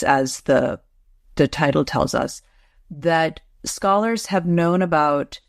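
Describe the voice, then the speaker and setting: A woman's voice: sits low, no room sound, studio quality. A middle-aged woman, a literature professor, answering interview questions in a careful, explanatory way. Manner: measured, explanatory, careful restarts.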